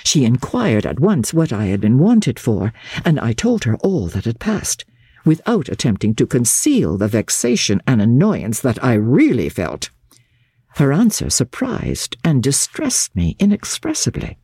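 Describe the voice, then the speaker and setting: A woman giving a formal, expressive and measured dramatic narration of a diary from a classic novel. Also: a bass voice, slightly rough, dry recording. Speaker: audiobook narrator.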